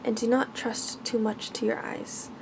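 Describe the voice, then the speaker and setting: Woman reading as a gentle, creaky-voiced young prince. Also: a little high, level tone, a little slow, background noise. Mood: tender, pleading. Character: handsome young prince, tender, pleading and noble. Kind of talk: ASMR bedtime fairy tale reading.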